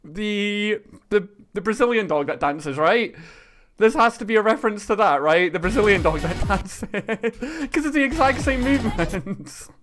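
A man in his thirties giving a casual, excitable video reaction as a streamer, often repeating his phrases. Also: sits high, a little slow. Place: small indoor streaming room. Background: video game trailer music.

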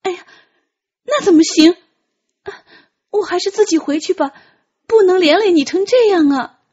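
A woman voicing an anxious, kind-hearted young woman disguised as a eunuch, soft and apologetic in an audiobook drama. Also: very high voice, animated, normal speed, lo-fi sound, voice pushed up. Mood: flustered, insistent. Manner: flustered, hesitant, pleading.

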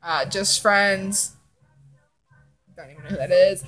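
A woman's voice: mid-range voice, slow, a bit bright, faint music behind. A young woman vlogger chatting casually and reading fan fiction in a rambling, giggly way. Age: young adult. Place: living room indoors.

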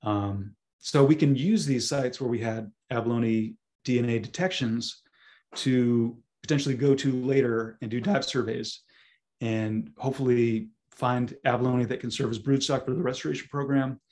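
Hoarse male scientist presenting over a video call; halting, lots of ums, mid pitch. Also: steady tone, darkish tone. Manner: halting, many ums and restarts. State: hoarse, losing his voice. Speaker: guest speaker, scientist. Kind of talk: webinar presentation.

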